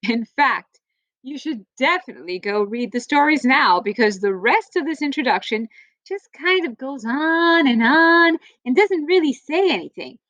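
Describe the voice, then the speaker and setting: A woman reading a children's storybook aloud like a teacher, with playful, theatrical emphasis and drawn-out phrases. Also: sits high, a bit bright, no echo, dull audio.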